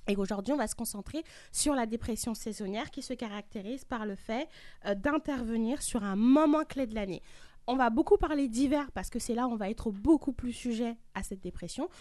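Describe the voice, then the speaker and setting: A woman's voice: on the high side, fairly quick, mellow, dry recording. A woman hosting a group talk, explaining terms step by step with everyday examples. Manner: didactic, walks through definitions with examples. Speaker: host. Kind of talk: explaining to a panel.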